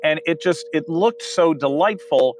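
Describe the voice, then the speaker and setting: A man talking as a guest in a casual comedy debate, earnest and halting, often restarting his sentences. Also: a little high, animated, background noise. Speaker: guest director.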